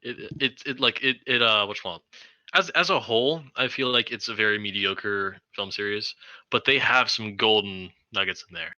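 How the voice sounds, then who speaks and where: Young man with a very bright, forceful voice. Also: lowish voice, moderate pace, no echo, dull audio. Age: around 20. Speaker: podcast co-host. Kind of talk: casual film discussion.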